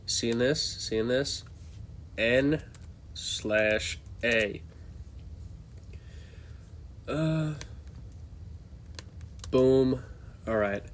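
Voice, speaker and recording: Young male host, stuffed-up from a cold, unhurried, ad-libbed ranking chat. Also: mid-range voice, a little hiss or hum. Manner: loose, off-the-cuff, thinking aloud. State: congested from a cold. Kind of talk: casual tier-list commentary.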